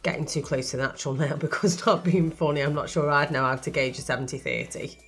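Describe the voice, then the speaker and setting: A woman's voice: sits low, fairly even intonation, quick pace, faint music behind. A woman chatting casually and asking questions beside a nail demo. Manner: giggly, teasing, asks questions. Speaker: co-host timing the demo. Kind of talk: casual chat.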